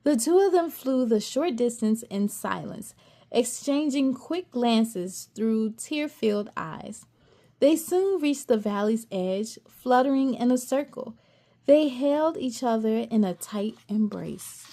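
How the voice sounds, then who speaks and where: Expressive woman reading a children's story. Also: on the high side, takes it slowly. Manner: expressive, with gasps and excited asides. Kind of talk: read-aloud storytelling for children.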